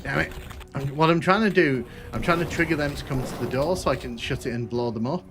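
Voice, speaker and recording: Northern English man, Manchester accent, relaxed gaming streamer talking over clear game music, trails off and restarts his sentences. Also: on the high side, a little fast. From Northern England. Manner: rambling, self-correcting, chatty. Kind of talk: casual live-stream chat while playing.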